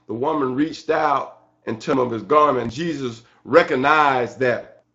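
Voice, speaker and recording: A man preaching an excited church sermon in a halting way, repeating phrases and punctuating them with amen and hallelujah. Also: mid-range voice, vocal fry. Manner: excited, fervent. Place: church service. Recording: dull, lo-fi sermon recording.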